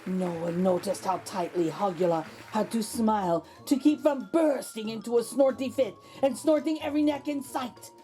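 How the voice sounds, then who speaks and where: A woman's voice: fairly high pitch, normal speed, few pauses, warm tone, soft background music. A woman narrating a children's picture book aloud as host, with theatrical, suspenseful emphasis. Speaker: storybook reader, host.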